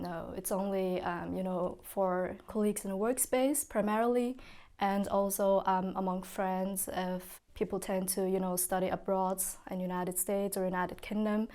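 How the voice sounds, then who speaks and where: A woman's voice: mid-range voice, a little mellow. A woman from China speaking as a podcast guest, explaining things in a conversational way. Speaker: podcast guest, banking and finance lawyer.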